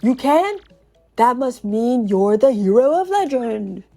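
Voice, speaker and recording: A cursed spirit locked in a treasure chest, played by a woman, swaggers through a video game story. The voice is fairly high, slow and mellow, full of casual slang and sly salesmanship. Music plays behind it. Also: big pitch swings, heavily acted. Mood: cocky, eager. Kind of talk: dramatic video game read-aloud.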